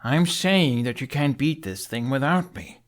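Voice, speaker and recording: A man voicing an earnest, irritable young Viking in an audiobook, speaking in a halting, insistent, exasperated way. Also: medium pitch, lively intonation, pitched above the performer's own voice, close to an everyday voice. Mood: stressed, insistent. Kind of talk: audiobook character acting.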